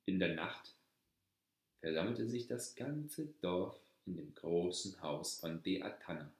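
Slow, low-voiced male storyteller in echoey room. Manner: measured, deliberate read-aloud delivery. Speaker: storyteller reading a folk tale aloud. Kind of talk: scripted fairy-tale narration.

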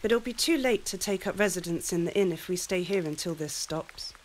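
A woman's voice: on the low side, fairly quick, slight background noise, natural voice. A woman playing a spirited, teasing young love interest in a period audio drama.

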